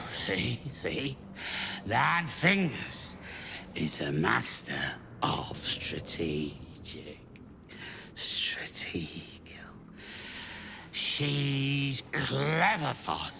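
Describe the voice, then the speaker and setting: A man playing a boozy guild informant in a game, meandering and halting in a slurred, gravelly voice. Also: medium pitch, sing-song, heavily acted. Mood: boozy, muddled. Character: rambling drunken guild informant, boozy and conspiratorial. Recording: background noise and ambience.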